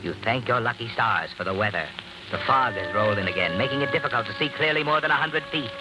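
Music plays under an old radio suspense recording. An actor plays an all-knowing male narrator who taunts and insinuates. He speaks quickly, prodding with rhetorical questions. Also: mid-range voice, lively intonation, close to an everyday voice, rough recording. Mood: ominous, suspenseful. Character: taunting, omniscient male inner-voice narrator of doom.

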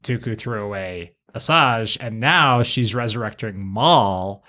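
A man's voice: lowish voice, a little slow, bright, forceful tone. Male podcast host in analytical discussion.

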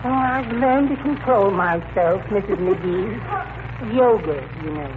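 Meek henpecked husband, prissy, polite, high-pitched, vintage radio comedy, noisy recording. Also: male voice, animated, slow pace, phone-quality sound. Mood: mild, long-suffering. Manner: mild, prissy, hesitant politeness. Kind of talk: 1940s radio comedy acting.

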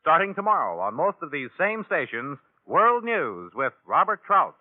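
A man's voice: on the high side, wildly swooping pitch, moderate pace, heavy background noise. An American man working as a radio announcer, reading in a polished, emphatic 1950s broadcast style. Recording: vintage radio, tinny band-limited, dry.

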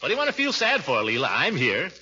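An actor playing a pompous, jealous, amorous suitor in a classic radio comedy, blustering and easily indignant. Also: male voice, on the high side, wildly swooping pitch, fast talker, breathy edge, full character voice. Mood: amorous, wheedling. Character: blustering, jealous, amorous middle-aged suitor, self-important commissioner. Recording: muffled old radio recording, loud noise.

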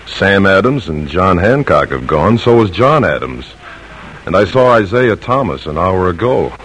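An actor playing a loyal, modest colonial silversmith in an old radio drama, speaking in a plain, unhurried, matter-of-fact way. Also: male voice, low-pitched, sing-song, fairly bright tone, slight background noise, exaggerated voice. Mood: steady.

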